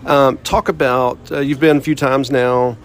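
A man hosts an interview, asking friendly, conversational questions and introducing his guests. His voice is mid-pitched and easy, with an occasional filler as he moves between topics. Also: fairly even intonation, moderate pace. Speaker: podcast host. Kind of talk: podcast interview.

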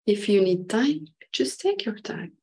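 A woman leading an unscripted guided meditation in short halting phrases, with a breathy voice that sometimes nears a whisper. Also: medium pitch, sing-song, warm tone.

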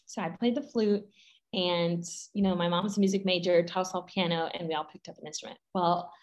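A woman's voice: fairly low pitch, steady tone, brisk. A woman in her thirties, raised in Guatemala and Mexico, chatting as a podcast guest, bubbly and rambling with frequent laughter. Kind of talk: casual interview chat.

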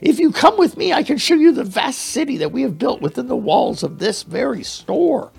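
A man voicing a crafty, learned little male mouse who speaks in a squeaky, flowery, grovelling way. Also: very animated, normal speed, vocal fry, darkish tone, husky, exaggerated voice. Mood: pleading. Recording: faint music behind.

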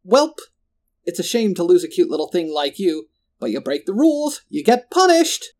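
A man's voice: high voice, very animated, moderate pace, darkish tone, voice pushed up. A man voicing a menacing, gloating, monstrous middle manager in a horror story, with a folksy, pompous, pontificating manner. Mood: mock regretful. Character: menacing, gloating, grotesque monstrous male mall assistant manager.

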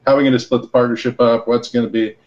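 A man's voice: medium pitch, flat delivery, racing, a little mellow, dull audio. A middle-aged American man talking as a podcast guest, explaining business casually in plain, colloquial language. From Los Angeles and Hawaii, United States. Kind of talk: casual conversational interview, explaining with anecdotes.

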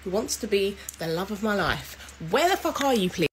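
A woman's voice: medium pitch, big pitch swings, fairly quick, a bit bright, slight background noise. A woman in her twenties complaining to camera in a social media clip about being single. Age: 20s.

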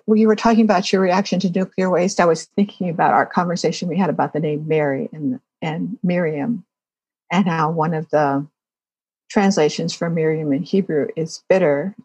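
A woman's voice: lowish voice, fairly even intonation, normal speed, a little mellow, breathy edge, dry recording, lo-fi sound. A woman writer being interviewed, talking reflectively about her own work in conversation.

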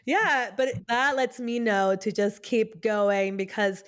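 A woman's voice: a little high, takes it slowly. A woman co-hosting a podcast in casual, slangy chat, gushing and giggly as she talks and reads cards. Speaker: podcast co-host. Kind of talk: casual chat with tarot card readings.

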